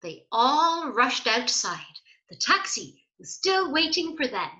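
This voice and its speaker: A woman reads a storybook to young children in a high, very animated voice. She goes very slowly and expressively, turning to her listeners with questions and asides. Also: dull audio. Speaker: primary school teacher reading aloud. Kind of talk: children's story read-aloud with asides to pupils.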